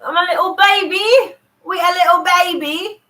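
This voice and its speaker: A woman's voice: very high voice, normal speed, pushed and bright, recorded from a distance, voice pushed up. A woman voicing a needy, whiny little baby, babyish and mock-pleading. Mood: whiny, playful.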